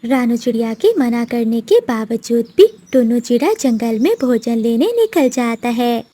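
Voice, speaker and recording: A woman tells a scripted story for children. Her voice is high and mellow, suited to narration of a simple tale. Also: expressive, measured pace.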